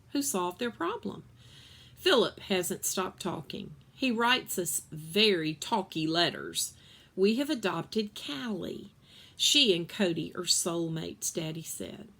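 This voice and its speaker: Female storyteller, mid-range voice, for expressive read-aloud of children's fiction. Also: medium pitch. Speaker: reader of a children's novel.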